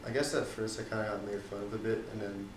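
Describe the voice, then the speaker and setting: A young man from the Netherlands answering interview questions casually, pausing often with ums and likes. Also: lowish voice, little pitch movement, reverberant, distant mic, very noisy. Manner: hesitant, many ums and likes.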